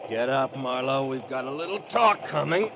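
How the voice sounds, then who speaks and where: A man's voice: lively intonation, moderate pace, muffled recording, close to an everyday voice. An actor playing a menacing, tough gunman protective of his brother in an old radio crime drama, with hard, slangy, threatening talk. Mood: curt, threatening. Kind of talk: 1940s-50s radio crime drama acting. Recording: noisy old radio recording, train rumble behind.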